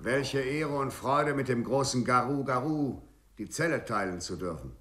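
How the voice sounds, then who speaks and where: An actor playing an effusive, ceremonious swindler in a 1950s German radio play. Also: male voice, mid-range voice, lively intonation, normal speed, fairly bright tone, a little hiss or hum, lightly acted. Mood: flattering. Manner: effusive, ceremonious, repeats words. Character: courtly, flattering male swindler with many aliases.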